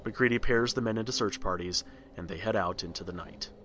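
A man's voice: fairly low pitch, a little fast, fairly bright tone, slight background noise. A man reading a scripted storybook narration for a read-along record.

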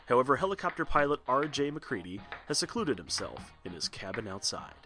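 A man's voice: mid-range voice, very animated, brisk. A man giving scripted narration as a podcast host and storyteller. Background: faint music.

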